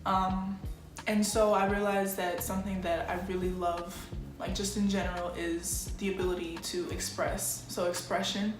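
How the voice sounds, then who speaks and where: A young American woman talks informally to camera, like a vlogger introducing herself. Her pitch is average, and her phrasing is slangy, with thoughts broken off midway. The room rings noticeably around her voice, and music plays behind it. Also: level tone, moderate pace, few pauses. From Chicago, United States. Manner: informal, self-interrupting, slangy. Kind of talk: casual chat to camera. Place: small plain-walled room indoors. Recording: echoey room with music underneath.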